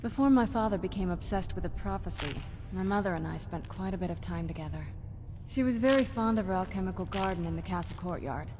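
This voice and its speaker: A woman playing an earnest young vampire noblewoman in a video game, talking in a measured, confiding way. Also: on the low side, lightly acted. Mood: wistful. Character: earnest young noblewoman vampire companion, wistful about family. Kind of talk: video game character acting. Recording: game dialogue under clear ambient noise.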